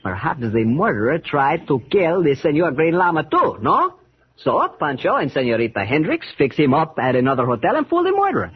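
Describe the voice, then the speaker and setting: Mexican cabbie for 1940s radio serial: eager salesman, broken English, very lively delivery, muffled hissy sound. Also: on the high side, brisk, husky, full character voice. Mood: proud, eager. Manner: effusive, persuasive, broken English. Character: eager boastful male Mexican taxi driver.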